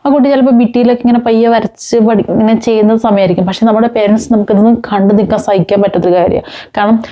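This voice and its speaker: A woman's voice: a little high, fairly even intonation, quick pace, mellow, muffled recording. A woman talking informally to viewers, explaining with examples and mixing in English words. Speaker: host of a parenting talk.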